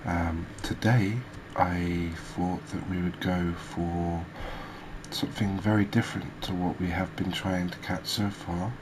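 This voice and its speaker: Low-voiced man, slow ASMR game commentary. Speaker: gaming video host. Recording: steady background noise.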